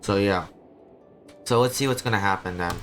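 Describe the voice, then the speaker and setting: A man livestreaming a video game with casual, halting, rambling commentary. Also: fairly low pitch, over music. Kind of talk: casual gameplay stream commentary.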